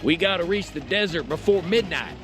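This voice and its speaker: An actor playing a rustic, superstitious carriage driver in an audio drama, with folksy, fearful warnings. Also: male voice, high-pitched, lively intonation, brisk. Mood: urgent fear. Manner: folksy drawl, agitated, warning. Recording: clear music behind the voice.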